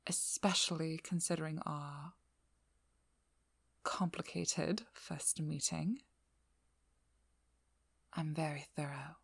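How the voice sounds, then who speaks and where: Casting: actress for a cunning female vampire hunter in intimate ASMR audio drama; lowish, breathy, playfully domineering, very dry recording. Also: steady tone, takes it slowly, long pauses, no room sound, exaggerated voice. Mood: cool, probing. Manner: teasing, playful, coolly controlling. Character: devious, vigilant female vampire hunter, flirty then businesslike. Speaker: audio roleplay performer. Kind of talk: scripted ASMR roleplay, addressing the listener.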